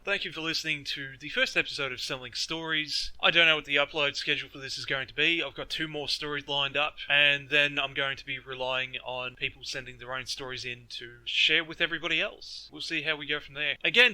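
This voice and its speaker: A man's voice: fairly high pitch, pushed and bright, dry recording, rough recording. A man hosting a podcast, speaking straight to his listeners in a blunt, firm way. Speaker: podcast host. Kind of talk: direct address to listeners.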